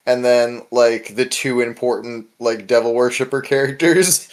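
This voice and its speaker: A man's voice: medium pitch, fairly even intonation, unhurried. A man hosting a casual discussion podcast, talking in an informal, rambling way with plenty of swearing. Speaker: podcast host.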